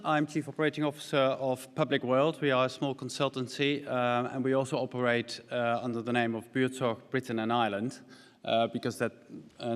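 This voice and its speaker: A middle-aged man with a Dutch accent talks through a conference presentation at podium microphones. His voice sits at a middle pitch and he fills pauses with ums and uhs. A long hall reverb trails each phrase. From Netherlands. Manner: explanatory, frequent ums and uhs. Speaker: conference speaker. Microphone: stand mics at a podium.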